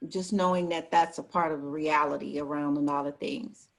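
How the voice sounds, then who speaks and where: A woman on a video call sharing a reflection in a thoughtful, measured way. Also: low voice, steady tone, vocal fry, low-quality recording. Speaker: workshop participant.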